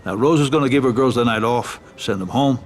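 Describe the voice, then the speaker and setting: A man playing a calm mob advisor. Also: mid-range voice, a little fast, slight background noise, natural voice. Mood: measured. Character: level-headed middle-aged mob lawyer and advisor. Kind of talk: game cutscene voice acting.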